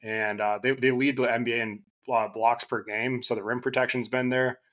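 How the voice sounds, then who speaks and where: A man who is a guest on a basketball podcast, losing his voice and talking casually in halting bursts with many restarts. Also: medium pitch, flat delivery, fairly bright tone.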